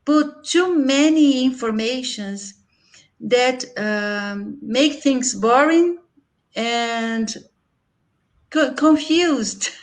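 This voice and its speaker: A woman from Brazil with a Brazilian Portuguese accent, talking in hesitant, non-native English on a video call, searching for words and laughing often. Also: on the high side. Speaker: conference session participant. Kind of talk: casual video call chat.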